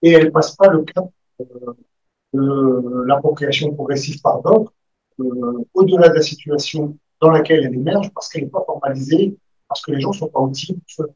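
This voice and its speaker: A man's voice: a little high, normal speed, a little mellow, husky, no room sound. A man in the audience of a university conference, stammering and searching for words as he comments and asks a question. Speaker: audience member asking a question. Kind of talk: academic discussion. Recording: dull muffled sound.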